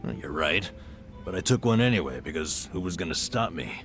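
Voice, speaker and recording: Deep-voiced, colloquial middle-aged drifter. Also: male voice, big pitch swings, fairly quick, a bit bright, soft background music. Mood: dreamy, boastful. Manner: storytelling, colloquial, dramatic. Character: stressed, boastful middle-aged male vagabond confessing misdeeds. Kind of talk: video game cutscene acting.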